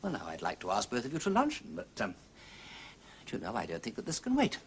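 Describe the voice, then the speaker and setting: An actor playing a genial aristocratic detective in a period drama, urbane and effusively polite, with an upper-class English accent. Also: male voice, mid-range voice, wildly swooping pitch, fast, slight background noise. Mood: reassuring, probing.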